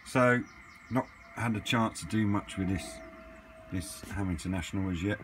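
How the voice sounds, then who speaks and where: A man's voice: on the low side, moderate pace. A man chatting casually and off the cuff, hesitant as he thinks aloud in a hobby vlog. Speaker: hobbyist vlogger. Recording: clearly noisy amateur camera recording.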